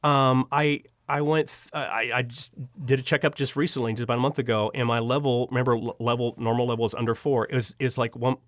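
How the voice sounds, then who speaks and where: A man's voice: mid-range voice, fairly even intonation, brisk, few pauses, dry recording, studio quality. A man speaking as an interview guest, sharing personal testimony casually and earnestly, with emphatic repetition and frequent restarted sentences.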